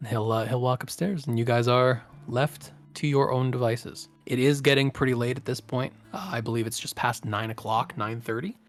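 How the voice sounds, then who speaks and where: A man narrating an improvised tabletop game as game master, in a halting, unhurried manner. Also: mid-range voice, steady tone, soft background music.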